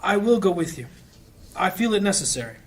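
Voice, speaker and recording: An actor playing a gentle, anxious priest, a reluctant adventurer weighed down by guilt, in a fantasy audio drama. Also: male voice, high voice, sing-song, a little fast. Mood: troubled, resolute.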